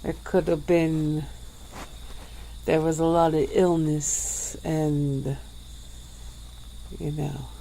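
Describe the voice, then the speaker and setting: A woman voicing a centuries-old female vampire who speaks slowly and softly, with breathy little laughs, in an ASMR roleplay. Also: low-pitched, flat delivery, a little hiss or hum, exaggerated voice, low-quality recording. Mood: teasing, languid. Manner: slow, soft-spoken, with breathy little laughs.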